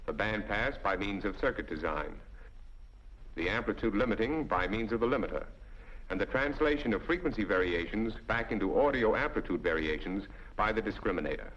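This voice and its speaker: A man's voice: fairly low pitch, expressive, fairly quick, fairly bright tone. An American man delivers scripted instructional narration as a training film narrator, explaining methodically step by step in a didactic way. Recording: dull 1940s film soundtrack.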